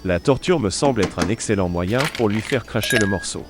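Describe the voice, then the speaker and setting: Low-voiced shrewd Resistance barkeeper, played by a man. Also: expressive, fairly quick, close to an everyday voice. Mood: cold, decisive. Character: cold-blooded French Resistance barkeeper, shrewd plotter. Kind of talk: wartime audio drama dialogue. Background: music behind the voice.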